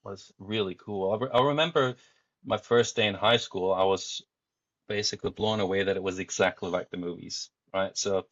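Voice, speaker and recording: A man in his forties from Denmark, a podcast guest telling his life story in a relaxed, meandering way. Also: low-pitched, moderate pace, no room sound, lo-fi sound. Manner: frequent fillers and restarts, easygoing.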